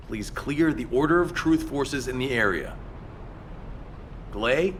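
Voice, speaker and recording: Operations chief for a game, played by a man: medium pitch, dutiful, orderly, easily exasperated. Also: fairly bright tone, slight background noise, close to an everyday voice. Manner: businesslike, crisp briefing delivery. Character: earnest by-the-book male operations leader, easily exasperated. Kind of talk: video game voice acting.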